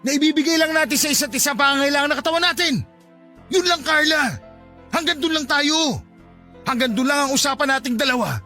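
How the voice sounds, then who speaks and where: A flustered cheating husband played by a man in a radio drama. His voice is very high and forceful, insistent in his protests, with music playing underneath. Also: a little fast. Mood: firm, rejecting.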